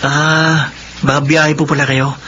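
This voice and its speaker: Young Filipino grandson, slightly high voice, earnest and courteous, radio drama, dull noisy audio. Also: male voice, flat delivery, slow pace, sharp tone. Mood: polite, nervous. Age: young adult. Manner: respectful, earnest. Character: dutiful, polite young Filipino student grandson. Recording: muffled audio, clear background noise.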